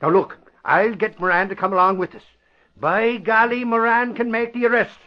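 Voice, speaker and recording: An actor playing an excitable, blustering newspaperman with folksy exclamations, in 1940s radio drama style. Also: high voice, moderate pace, natural voice. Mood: excited. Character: excitable, blustering Irish male newspaper bodyguard-reporter. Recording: muffled vintage radio broadcast.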